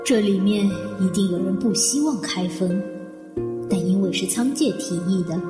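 A woman's voice: medium pitch, moderate pace, lightly acted. A woman playing a calculating, suspicious character thinking to herself in a detective audio drama, drenched in reverb. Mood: suspicious, brooding. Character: suspicious, calculating woman weighing suspects silently. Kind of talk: inner monologue in audio drama. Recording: echoing reverb over new-age music.

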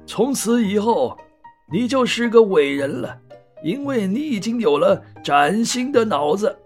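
A man voicing a kindly but self-important old wizard in a children's storybook, with hesitant, drawn-out phrasing. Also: high voice, big pitch swings, soft background music, voice pushed up, exaggerated voice. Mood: pleased, grand.

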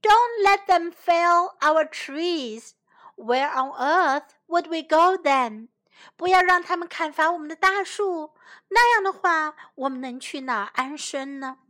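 Woman voicing frightened small animals, high and pleading. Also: level tone, normal speed, hardly any pauses, sharp tone. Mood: panicked pleading. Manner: pleading, exclamatory. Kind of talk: children's storybook acting.

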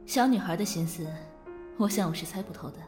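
A woman acting a composed, shrewd, mature finance director with a calm, cool manner in a modern audio drama. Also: on the low side, moderate pace, music in the background, close to an everyday voice. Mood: composed, dryly polite.

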